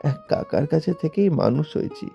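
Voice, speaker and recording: A man's voice: on the high side, big pitch swings, fairly quick, vocal fry, soft background music, exaggerated voice. A man playing a humble, sorrowful orphaned young man in a cartoon, in dull, muffled audio. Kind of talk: cartoon dialogue.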